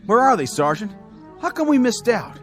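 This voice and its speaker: An actor playing an anxious, naive young soldier in a radio drama, earnest and worried. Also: male voice, very high voice, big pitch swings, normal speed. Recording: music bed under radio drama dialogue.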